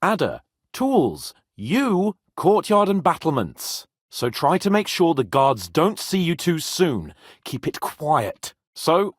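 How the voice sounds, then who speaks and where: A brash young medieval lord, with a husky voice that leaps and plunges theatrically. He is haughty and excitable but loyal, in a very dry, close recording. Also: fairly high pitch, slow pace, no room sound, heavily acted. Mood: urgent, businesslike. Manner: theatrical, expressive, swearing freely. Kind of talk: video game voice acting, dramatic dialogue.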